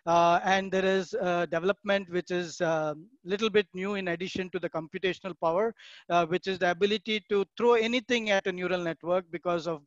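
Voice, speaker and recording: A man giving an online keynote talk, measured and explanatory, with frequent "uh" pauses. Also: high voice, steady tone, no room sound, highs cut off. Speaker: keynote speaker.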